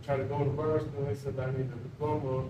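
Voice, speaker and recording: A young man from Toledo, a barber guest on a podcast, giving short, flat answers. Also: medium pitch, fast, reverberant, recorded from a distance, noisy background. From Toledo, Ohio. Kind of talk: casual interview chat.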